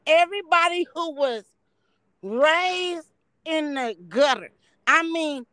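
Bay Area woman, late 30s, high and dry, blunt and profane, slow to get there. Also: animated, dry recording. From San Francisco Bay Area, California. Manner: loud, blunt, profane storytelling. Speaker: podcast guest. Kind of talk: casual chat.

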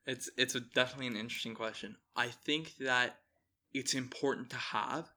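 A young adult man answering interview questions as a podcast guest, in an earnest, deliberate way. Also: medium pitch, fairly even intonation, sharp tone. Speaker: podcast guest, founder of a youth employment initiative. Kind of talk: measured interview storytelling.

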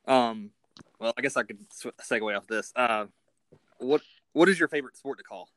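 A man with a medium-pitched voice hosts a casual interview. His way of talking is informal and self-deprecating, and the sound is very dry, with no room echo. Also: normal speed, a bit bright. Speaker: interview host.

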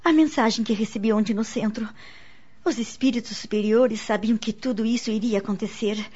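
An actress playing an anxious, pious and emotional wife in a radio soap opera. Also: female voice, fairly high pitch, brisk, dark tone, husky. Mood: pious resolve. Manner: emotional, earnest. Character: anxious, remorseful wife, pious and gentle-hearted. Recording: slightly muffled studio recording.

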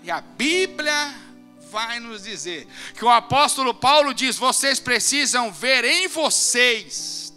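A man preaching to a church congregation in a very high, ringing voice. He swells into loud, fervent climaxes and then falls back to quiet, earnest stretches. Faint music sits under his voice. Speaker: pastor. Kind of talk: sermon. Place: church service.

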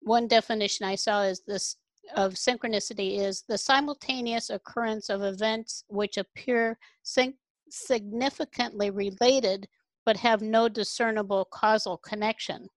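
A woman's voice: medium pitch, steady tone, moderate pace, no room sound, poor audio. Reflective woman host, dry sound. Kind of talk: interview hosting.

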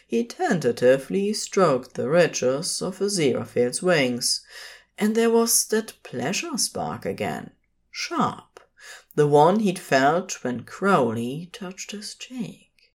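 Casting: female fiction narrator with a deep register, measured phrasing and widely varied intonation. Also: sing-song, husky.

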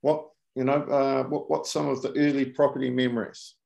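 A man hosting a casual podcast, his voice full of gravelly fry. He pauses often and fills gaps with fillers while asking questions. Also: medium pitch, flat delivery, darkish tone. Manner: halting, many fillers. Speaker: podcast host. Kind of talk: casual interview chat.

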